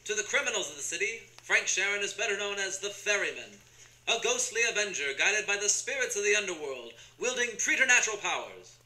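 A man's voice: high-pitched, expressive, fairly quick, pushed and bright, reverberant, poor audio. A man announcing an old-time radio drama in a theatrical style.

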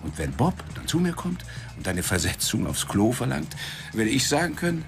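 An actor playing a foul-mouthed, sarcastic newspaper editor in a radio drama, blustering and sardonic. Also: male voice, mid-range voice, big pitch swings, normal speed, vocal fry, over music. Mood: wry, warning.

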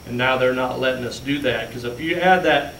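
A man's voice: medium pitch, a little fast, fairly bright tone. A man explaining budget figures step by step to a school board in a large meeting room, recorded from a distance. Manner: plainspoken, walks listeners through handouts step by step. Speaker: school business official presenting budget. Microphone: far room camera. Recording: echoey room, distant mic, faint hum.

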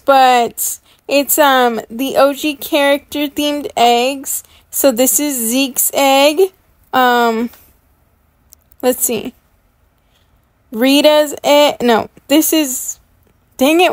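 High-pitched, enthusiastic young-sounding woman vlogger. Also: dry recording. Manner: enthusiastic, gushing. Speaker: gaming YouTuber. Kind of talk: chatty gameplay unboxing commentary.

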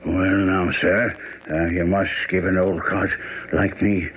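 A man's voice: low voice, little pitch movement, a little slow, slightly rough, pitched below the performer's own voice. An actor voicing a stubborn old working-class man in a radio drama, breathless and mumbling in a rustic, grumbling way. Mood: wheezy, stubborn. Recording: tinny, noisy old radio broadcast.

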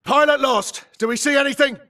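An actor playing a tough, aggressive infantry grunt in a video game, barking clipped, urgent military callouts. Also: male voice, extremely high pitch, fairly quick, far from the mic. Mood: alert, searching. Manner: clipped, urgent, forceful delivery. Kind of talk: military combat callouts, short shouted barks.

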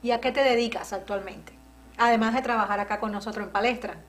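A woman's voice: on the high side, steady tone, fast. A middle-aged woman hosting a TV studio interview, welcoming her guest and asking prompting questions on a lapel mic. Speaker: TV host. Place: TV studio set.

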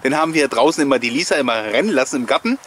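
A man gives an informal voice-over in the manner of a host sharing memories with his viewers. He rambles amiably through anecdotes at a middle pitch and tends to chuckle. There is some audible background noise behind him. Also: lively intonation, very fast, fairly bright tone. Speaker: host of a collectors' nostalgia video channel. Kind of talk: chatty voice-over commentary with personal anecdotes.

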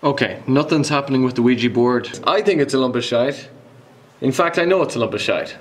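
A man's voice: mid-range voice, fairly quick, heavy background noise. A man in his twenties with an Irish accent, talking to camera in a casual, self-mocking adventure vlog. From Ireland. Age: 20s. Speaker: vlogger.

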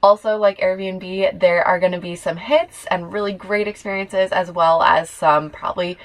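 A woman's voice: fairly low pitch, fairly even intonation. A young woman vlogging, giving earnest, upbeat camping advice straight to the camera from her van and a forest campground. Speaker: travel vlogger. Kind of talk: casual explainer to camera. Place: inside a camper van and outdoors in a forest campground. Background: faint ambience, a river rushing nearby.

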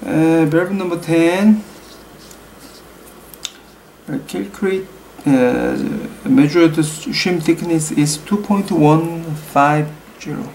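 A man with a Korean accent, a native Korean speaker, slowly working through calculations aloud at a desk in his room, hesitant, with many pauses and fillers. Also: on the high side, a little mellow, slight background noise, low-quality recording. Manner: slow and hesitant, full of pauses and fillers, reading numbers aloud. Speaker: hobby mechanic explaining engine work. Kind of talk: thinking-aloud tutorial. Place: small room at home.

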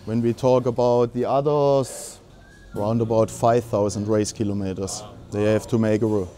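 A man's voice: on the low side, flat delivery, moderate pace, dark tone. A man in his forties explaining engines to a group during a tour of an indoor workshop, in a plain, technical way. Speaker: engine builder.

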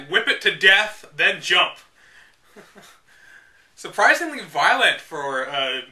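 A man with a high, very sharp and forceful voice hosts a read-aloud. He delivers the text dramatically, then drops into casual, mocking commentary with an exasperated edge. He sounds set back a little from the microphone. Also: far from the mic. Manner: sarcastic, exasperated. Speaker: host reading a game guide aloud with commentary.

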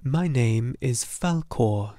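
A man's voice: mid-range voice, expressive, takes it slowly, dark tone, no room sound, studio quality. A man voicing a cheerful, hopeful male luck dragon with a buoyant, upbeat delivery.

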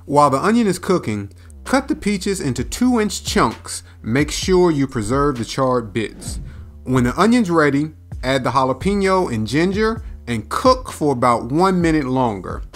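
A man reads a recipe as voice-over for a cooking demonstration, his pitch rising and falling a lot around a fairly high middle. He paces the steps out clearly like a teacher. Soft music plays faintly behind him. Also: very animated, unhurried.

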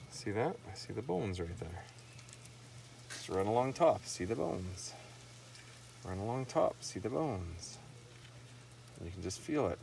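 A middle-aged man with a fairly low voice talks through a job at an outdoor table in a relaxed, practical way. He is picked up by a camera mic that sits a little away from him. Faint outdoor noise runs underneath. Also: gravelly, recorded from a distance. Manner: relaxed, talks while working, repeats "see that". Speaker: fishing video host. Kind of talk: hands-on filleting demonstration. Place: outdoor fish cleaning table.

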